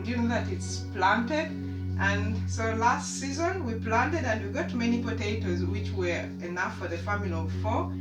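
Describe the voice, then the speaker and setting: A woman's voice: a little high, far from the mic. A woman, chatting casually as she shows her home-grown plants. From Uganda. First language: Luganda. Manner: chatty, with frequent restarts, explaining plants. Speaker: gardening vlogger. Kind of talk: casual show-and-tell. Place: home balcony. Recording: roomy, off-mic, loud music behind.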